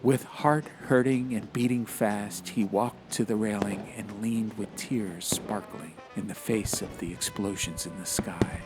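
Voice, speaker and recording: A man's voice: fairly low pitch, fairly even intonation, a little slow, hardly any pauses. A man narrating a fantasy audiobook in a dramatic, literary style. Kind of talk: scripted fantasy story narration. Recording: music behind the voice.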